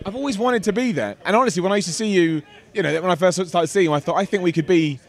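A man's voice: high-pitched, quick pace. A British man in his thirties hosting a casual interview, chatty and playful, speaking into a handheld microphone. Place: indoor convention booth.

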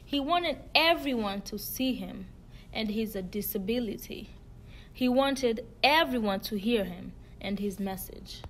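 A woman reading a picture book aloud in a measured way, stressing key words. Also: on the high side, animated, fairly bright tone, a little hiss or hum. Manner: measured storybook reading with emphasis. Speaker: student reader.

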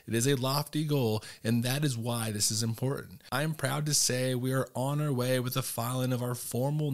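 Upbeat male podcast host. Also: mid-range voice. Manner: upbeat, reads a prepared intro. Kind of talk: podcast interview and scripted intro.

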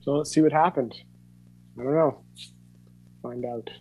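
A man's voice: medium pitch, fairly even intonation, measured pace, phone-quality sound, over music. A man in his thirties giving an informal hands-on tutorial, talking casually and thinking aloud as he demonstrates. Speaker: workshop instructor. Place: indoor home studio room with tape gear.

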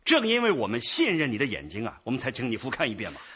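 An actor in a radio drama playing a patient, methodical police squad leader, affable yet authoritative. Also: male voice, medium pitch, wildly swooping pitch, quick pace, sharp tone. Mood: businesslike, firm. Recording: dull lo-fi radio drama track.

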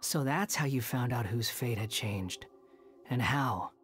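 A woman's voice: very deep voice, a little slow, faint music behind. A woman voicing an aloof, sardonic young male wanderer who is not human, in a video game. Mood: cool. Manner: cool, dry and sardonic.

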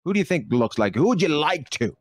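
A man's voice: fairly high pitch, very animated, quick pace. A man in his forties hosting a comedy panel over a video call, teasing and mocking his guests. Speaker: comedy show host.

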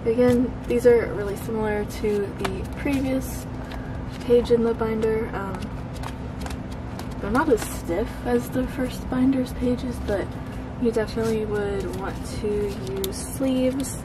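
An American woman giving casual, unscripted unboxing commentary in a low-key, unhurried way. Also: fairly even intonation. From United States. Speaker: host of a K-pop merchandise unboxing channel. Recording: clearly noisy background hum.

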